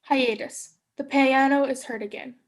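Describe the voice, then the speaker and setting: A woman's voice: high voice, steady tone, unhurried. A young woman giving scripted narration, reading stage directions for a play. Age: young adult.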